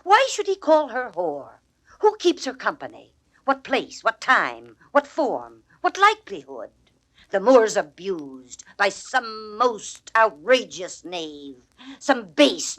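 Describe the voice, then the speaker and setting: A woman's voice: mid-range voice, very animated, takes it slowly, a bit bright, exaggerated voice. A woman playing a blunt, fiercely loyal waiting-woman in a classical Shakespearean drama, with emphatic, declamatory delivery. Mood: outraged. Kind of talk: classical Shakespearean stage acting on record.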